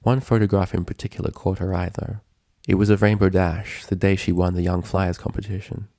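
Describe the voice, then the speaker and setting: A man's voice: low voice, flat delivery, fairly quick, warm tone, dry recording, clean studio sound. A man reading a story aloud as a scripted narration. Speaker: fan fiction narrator.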